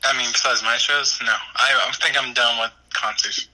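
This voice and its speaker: A man co-hosting a laid-back podcast, his voice very bright and forceful. He teases his friends and talks in loose slang. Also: mid-range voice, steady tone, moderate pace, rough recording. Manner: teasing, slangy. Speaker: podcast co-host. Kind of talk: casual banter.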